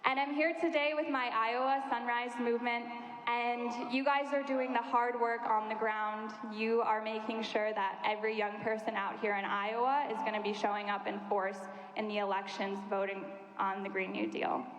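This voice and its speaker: A woman's voice: a little high, level tone, normal speed, background noise. A young woman, a youth climate organizer, giving earnest prepared remarks to a panel. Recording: echoey hall with long reverb.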